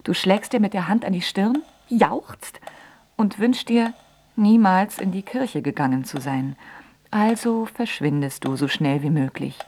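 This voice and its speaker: A woman's voice: medium pitch, darkish tone, breathy edge. An actress playing a bitter, ironic grown daughter who tells of her father in a dramatic radio play monologue. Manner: sardonic, dramatic monologue with sudden exclamations. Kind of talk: radio play narration and dialogue.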